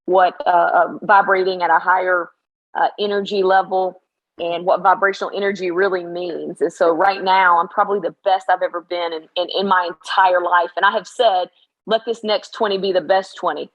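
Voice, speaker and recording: A middle-aged woman basketball coach, slightly hoarse after practice, talking in an emphatic, motivational way in a casual interview. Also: fairly low pitch, fairly even intonation, normal speed, dull audio.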